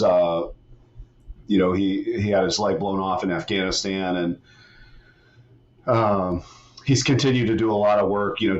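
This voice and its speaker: A middle-aged man from West Virginia, a retired soldier speaking as a podcast guest in a laid-back, halting way. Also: low voice, muffled recording. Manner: laid-back, frequent "man" and "you know", halting restarts. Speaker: guest, retired Army master sergeant and author. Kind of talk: casual podcast interview.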